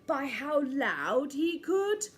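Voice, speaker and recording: Lady reading a kids story, really animated and high pitched, draws words out, bit of noise behind. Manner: theatrical, drawn-out emphasis. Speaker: storyteller reading a children's picture book. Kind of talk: expressive read-aloud storytelling.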